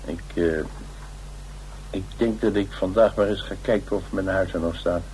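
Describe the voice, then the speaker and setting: An actor playing a gruff but caring male companion with a Dutch accent, speaking hesitantly and affectionately in a naturalistic radio drama. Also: low voice, fairly even intonation, moderate pace, a little mellow, a little hiss or hum, lightly acted, poor audio. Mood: fussing, caring.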